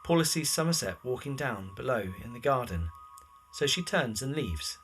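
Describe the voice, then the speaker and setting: A man's voice: mid-range voice, animated, normal speed, a bit bright, soft background music. A man reading scripted present-tense narration for an audio drama.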